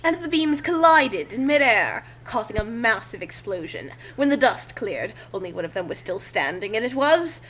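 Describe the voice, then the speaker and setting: A woman's voice: on the high side, very animated, normal speed, hardly any pauses, fairly bright tone. A woman reading a story aloud as amateur dramatic narration. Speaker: narrator and co-reader.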